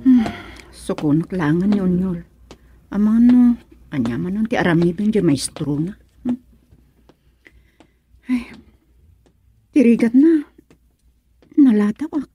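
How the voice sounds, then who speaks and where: A woman's voice: mid-range voice, lively intonation, unhurried, long pauses, dark tone, husky. A woman playing a fretful village mother in a radio comedy drama. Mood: worried.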